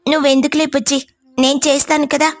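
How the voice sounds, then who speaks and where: Woman as gentle high-voiced mother sparrow. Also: little pitch movement, quick pace. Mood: resolved. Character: good-natured mother sparrow, apologetic reformed idler.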